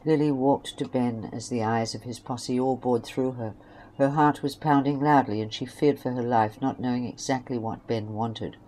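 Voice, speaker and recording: A woman narrates a scripted story in a low register. The recording is homemade, with clear noise behind the voice. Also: darkish tone, low-quality recording.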